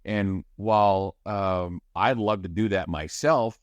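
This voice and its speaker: Energetic deep-voiced man explaining. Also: no echo, dull audio. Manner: energetic, explanatory, rapid description. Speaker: radio play-by-play announcer, guest. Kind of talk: casual podcast chat.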